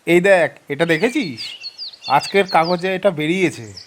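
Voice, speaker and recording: A man acts out a breezy, sceptical male pal in an audio drama. His voice sits a little high and he coaxes with emphatic, colloquial phrasing, on a track with noise and chirping. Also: measured pace, fairly bright tone. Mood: eager, persuasive. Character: eager, persuasive young male friend, breezily sceptical of ghosts. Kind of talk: audio story dramatic dialogue.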